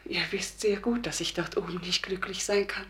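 An actress plays the spirit of a dead wife in a radio play. She speaks in a medium-pitched woman's voice, earnest and subdued, like a humble confession from someone who stubbornly clings to the earth. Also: normal speed, a bit bright, soft background music, natural voice. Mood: quiet refusal. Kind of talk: German radio play acting.

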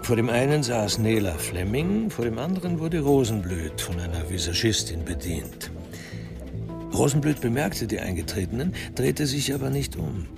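A man narrating a literary crime radio drama in a measured, precisely articulated way. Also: medium pitch, big pitch swings, creaky, music in the background.

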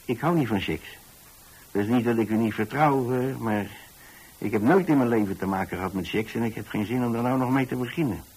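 A male actor plays an older, retired landlord in a radio drama monologue. His voice is husky and sits at an ordinary male pitch, and he rambles along in a folksy, tolerant way, hesitating and doubling back on his words. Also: quick pace, darkish tone, lightly acted, rough recording. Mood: firm, wary. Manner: folksy, hesitant, repeats himself.

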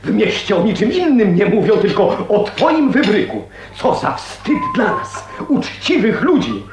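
Stern scandalised uncle, animated. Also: male voice, sits high, unhurried, reverberant, over music, exaggerated voice. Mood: outraged. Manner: hectoring, indignant. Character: stern, pompous, scandalised uncle scolding his niece. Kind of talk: Polish radio drama acting.

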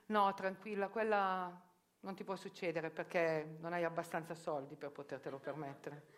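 A woman's voice: lowish voice, fairly even intonation, normal speed. A middle-aged woman, a journalist, performing a theatrical monologue in an ironic, self-questioning manner that rises to urgent outbursts. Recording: radio broadcast with faint music. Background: live music.

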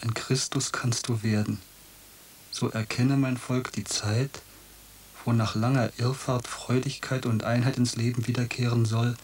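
A man's voice: mid-range voice, level tone, a little slow, a little mellow, a little hiss or hum, close to an everyday voice. An actor playing a fervent young male student, declaiming a passionate appeal in a radio drama. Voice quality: impassioned, declamatory.

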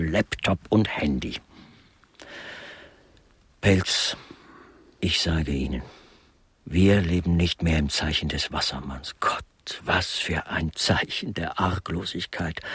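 An actor playing an embittered, pompous man of letters, holding forth in ornate rants in a radio play with a husky voice. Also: low-pitched, fairly bright tone, no echo, exaggerated voice. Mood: scornful. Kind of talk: German radio play dialogue.

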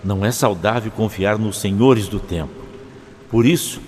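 A man playing a wary, learned alien former professor in an audio drama, explaining things gravely. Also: fairly low pitch, moderate pace, a little mellow, natural voice. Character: learned, wary male Time Lord, reclusive former professor. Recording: faint music under the voice.

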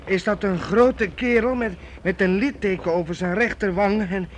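A man plays a watchful young lad in a radio play. The voice is high and halting, stammering with unease, over faint background noise. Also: natural voice. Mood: uneasy, suspicious. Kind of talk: radio drama acting.

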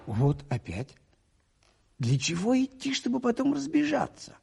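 An actor playing a thoughtful, observant priest detective in a radio play, musing aloud with a husky, mellow voice. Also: male voice, extremely high pitch, huge pitch swings, unhurried, exaggerated voice. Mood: puzzled, musing. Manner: musing, questioning himself aloud. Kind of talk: radio play narration and monologue.

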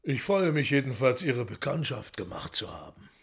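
Sinister, courteous devil: gravelly husky voice, coolly superior, radio play. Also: male voice, mid-range voice, sing-song, moderate pace, full character voice. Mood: coolly polite. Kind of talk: radio drama acting.

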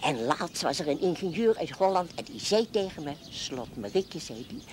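An actress playing a chatty, plain-spoken old spinster smallholder in a television period drama, folksy and wry. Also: female voice, lowish voice, very animated, moderate pace, darkish tone. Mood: reminiscing. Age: older. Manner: folksy, blunt, wry, talkative. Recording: noisy old TV soundtrack with farmyard birds.

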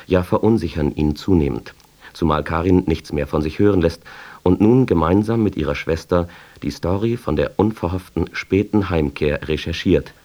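A man announcing a radio programme preview in a formal, measured, scripted style. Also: deep voice, a little mellow.

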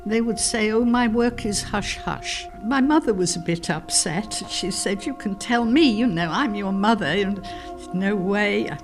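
A woman's voice: mid-range voice, unhurried. Older woman reminiscing over faint music. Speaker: wartime codebreaking veteran giving testimony. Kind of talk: interview reminiscence.

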